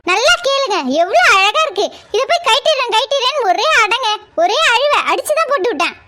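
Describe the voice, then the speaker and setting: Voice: extremely high pitch, lively intonation, fairly quick, sharp tone, slight background noise, heavily acted. A performer voicing a bossy, harried mother who nags and coaxes, in a comedy skit. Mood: protesting. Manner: nagging, coaxing, protesting. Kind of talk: family comedy skit acting.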